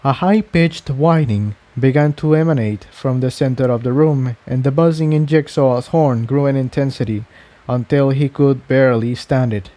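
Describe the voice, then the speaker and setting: Mellow-voiced man narrating a story. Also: medium pitch, moderate pace. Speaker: fan fiction reader.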